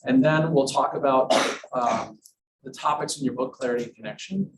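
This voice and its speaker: Chatty professor heard from across a classroom. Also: male voice, mid-range voice, normal speed. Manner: chatty, enthusiastic, with restarts. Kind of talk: classroom interview. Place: university classroom. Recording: distant classroom mic with room noise.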